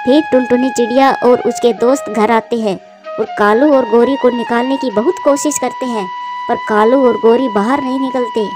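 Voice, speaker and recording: A woman's voice: a little high, steady tone, moderate pace, over music. A woman reading scripted narration for a children's moral story.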